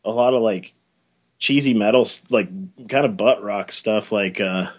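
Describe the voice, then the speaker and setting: A man with an American accent and a somewhat low voice chats casually as an interviewed musician. He is laid-back and slangy, feeling his way through phrases. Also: normal speed, highs cut off. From Wyoming, United States. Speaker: band musician being interviewed. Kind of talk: casual chat.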